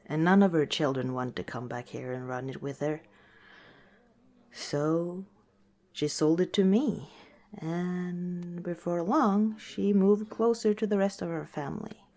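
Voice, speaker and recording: A woman playing a friendly, teasing orc tavern owner in a softly spoken ASMR roleplay, chatting gently and without hurry. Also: low voice. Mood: reflective.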